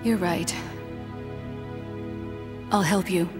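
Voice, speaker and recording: A woman's voice: lowish voice, fairly even intonation, very slow, over music. An actress playing a mysterious, guarded female agent in a video game, clipped and controlled. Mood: cool, guarded. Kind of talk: video game cutscene acting.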